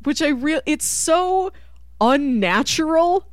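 Woman, fairly high voice, very lively intonation, casual chat, enthusiastic, giggly, dry sound. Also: slow, no echo. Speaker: podcast guest co-host discussing film.

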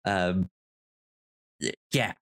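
A man's voice: low voice, fairly bright tone. A man hosting a podcast in a casual discussion, speaking hesitantly and often stammering over his words. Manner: hesitant, stammering, halting delivery. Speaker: podcast host.

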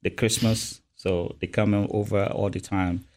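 Earnest Cameroonian-born man, lowish voice. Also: little pitch movement, a little slow, a little mellow. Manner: earnest, hesitant with many ums. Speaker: retirement services officer. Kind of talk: casual podcast interview.

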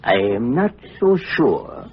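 An actor playing a suspicious, treacherous king in an old radio drama, husky and grandiose. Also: a little high, sing-song, slow pace, exaggerated voice. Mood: suspicious, scheming. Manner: grandiose, paranoid, theatrical. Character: suspicious, treacherous Judean king, brooding and menacing. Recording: muffled old radio recording, music behind.

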